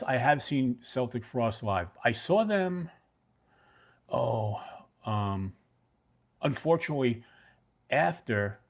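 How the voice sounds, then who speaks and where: A middle-aged man from Brooklyn with a mixed New York and New Jersey accent, chatting loosely as the host of a livestream and answering viewers by name. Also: medium pitch. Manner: rambling, talks to chat viewers by name. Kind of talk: casual livestream chat.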